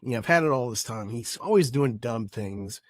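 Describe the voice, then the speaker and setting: A man's voice: medium pitch, lively intonation, normal speed, no room sound, studio quality. A middle-aged man with a cold and a nasal voice, talking through a casual, reflective podcast monologue that rambles and corrects itself as he goes. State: has a cold. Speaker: podcast host.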